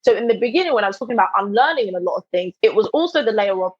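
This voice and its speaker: A woman's voice: mid-range voice, lively intonation, racing, breathy edge, dry recording. A woman speaking reflectively as a podcast host. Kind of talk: candid conversation. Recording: dull, dry lo-fi.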